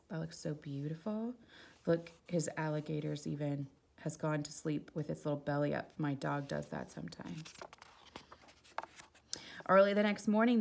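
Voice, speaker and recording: A woman's voice: low-pitched, vocal fry, breathy edge. A woman reading a children's picture book aloud expressively, with casual asides about the pictures. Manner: expressive, exclaiming softly at the pictures.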